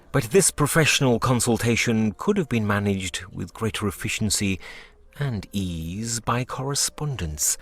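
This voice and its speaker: An actor playing a formal, brusque head doctor of a continental clinic in a video game, speaking with measured, condescending politeness. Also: male voice, lowish voice, fairly bright tone, full character voice.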